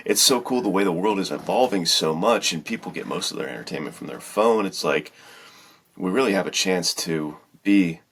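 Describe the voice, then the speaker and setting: A man's voice: deep voice, lively intonation, moderate pace, gravelly, fairly bright tone, a little hiss or hum. A man co-hosting a podcast in casual chat, rambling along informally and with enthusiasm. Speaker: podcast co-host.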